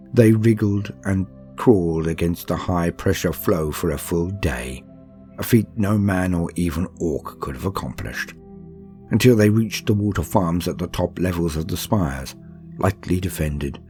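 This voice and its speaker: A man giving a calm, steady, measured reading of a scripted story, in the style of a soothing audiobook narrator. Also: deep voice. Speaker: narrator reading a campaign book aloud.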